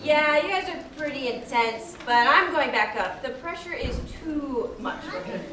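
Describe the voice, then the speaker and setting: A woman's voice: high voice, measured pace, crowd noise. A woman performing a curious, bookish young explorer in a live children's puppet show, eager and wide-eyed. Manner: wide-eyed, animated questioning. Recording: echoey hall, distant mic, audience noise.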